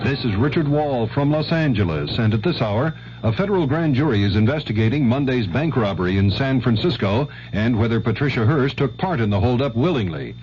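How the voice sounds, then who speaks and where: A man's voice: medium pitch, expressive, fairly quick, darkish tone. An American man anchoring a network radio newscast, reading from a script. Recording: dull noisy 1970s network radio broadcast.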